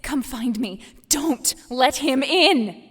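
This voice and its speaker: A woman's voice: high voice, lively intonation, takes it slowly, a bit bright, slightly rough, heavily acted. An actress playing a desperate, haunted woman pleading in short fragments, as an audio drama voice-over. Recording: long cavernous reverb.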